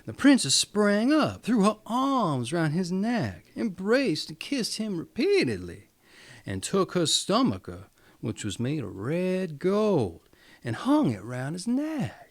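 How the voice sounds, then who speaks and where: Animated male fairy-tale storyteller, very dry sound. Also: high voice, takes it slowly, no room sound. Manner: theatrical, emphatic storybook delivery. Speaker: storyteller reading fairy tales. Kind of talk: expressive scripted fairy-tale narration.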